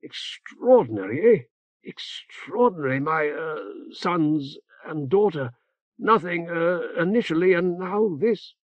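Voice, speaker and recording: A male audiobook narrator voices an old cleric who speaks in halting, clipped phrases padded with "eh" and "uh". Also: fairly high pitch, no echo, dull audio, pitched above the performer's own voice. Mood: ponderous. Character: fussy elderly male cleric, rambling and hesitant.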